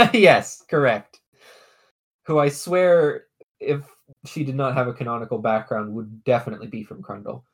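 A woman's voice: extremely low pitch, unhurried, husky. A young adult woman, a dungeon master, chatting casually on a podcast, rambling, swearing and laughing often.

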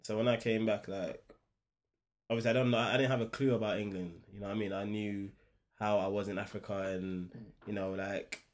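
A man's voice: lowish voice, fairly even intonation, fairly quick, slight background noise. A man who grew up in Ghana, reflecting steadily on his past during a casual group discussion.

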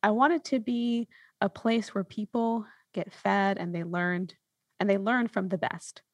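Woman podcast host with a creaky voice. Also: mid-range voice, measured pace, dry recording. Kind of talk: casual reflective podcast conversation.

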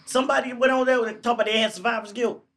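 A man's voice: very high voice, steady tone, rapid-fire, a bit bright, a little hiss or hum. A man co-hosting a casual podcast, telling stories in an easygoing way. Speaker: podcast co-host. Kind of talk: casual chat.

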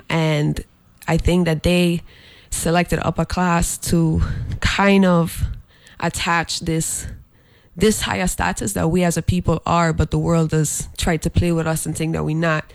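Gravelly, flat-voiced man. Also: fairly high pitch, dry recording. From Saint Croix, US Virgin Islands. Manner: halting, many filler pauses. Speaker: guest, fashion brand designer. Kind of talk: interview promoting an event.

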